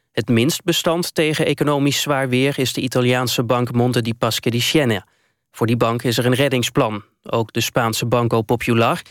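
A man's voice: medium pitch, no echo, clean studio sound. A man with a Netherlands Dutch accent reading a formal, scripted news bulletin as a radio newsreader.

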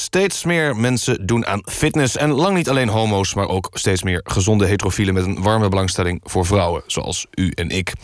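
A man playing an earnest radio news presenter, reading scripted satirical items in a formal, deadpan way. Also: mid-range voice, no room sound, clean studio sound, lightly acted.

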